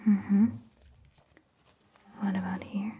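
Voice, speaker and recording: A woman playing a caring vampire doctor in an ASMR roleplay, whispering gently and reassuringly in a breathy, hushed voice. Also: medium pitch, flat delivery, narrow, tinny audio, a little hiss or hum. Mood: gentle, reassuring. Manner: gentle, slow, reassuring, softly questioning. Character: caring, attentive female vampire doctor tending a patient. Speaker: ASMR performer. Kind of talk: soft-spoken ASMR roleplay, mostly whispered.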